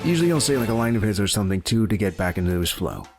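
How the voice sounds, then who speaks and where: A man's voice: on the low side, very animated, rapid-fire, soft background music. A man who does amateur voice acting, explaining himself casually in answer to fan questions. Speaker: amateur voice actor in an abridged series.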